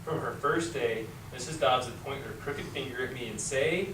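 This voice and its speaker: A man's voice: medium pitch, moderate pace, close to an everyday voice. A man playing a troubled, put-upon twelve-year-old schoolboy who tells his story earnestly in amateur reader's theater. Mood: wry. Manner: earnest first-person storytelling. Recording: echoey room, distant mic, clear noise.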